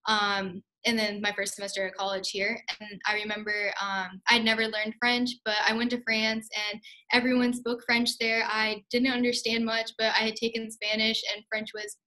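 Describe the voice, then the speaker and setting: A woman's voice: a little high, flat delivery, normal speed, pushed and bright, low-quality recording. A young woman on a student panel, answering in a casual way with many likes and ums. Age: young adult.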